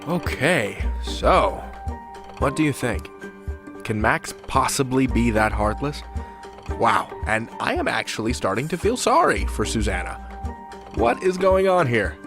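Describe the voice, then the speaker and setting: Animated man hosting a podcast. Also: medium pitch, unhurried, music in the background. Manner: conversational, enthusiastic. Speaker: podcast host. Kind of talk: chatty scripted podcast hosting.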